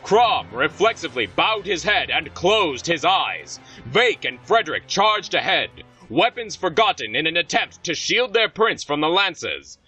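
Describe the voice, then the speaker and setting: A man reading scripted audio drama narration in a dramatic, expressive storytelling manner. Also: a little high, measured pace, few pauses, slightly rough, distant mic, heavily acted, poor audio. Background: music.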